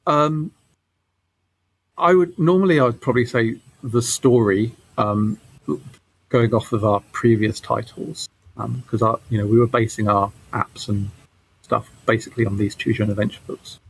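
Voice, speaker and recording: A man giving casual interview answers as a game developer, halting and often repeating his words. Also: medium pitch, fairly even intonation, gravelly, slightly rough, dry recording, rough recording.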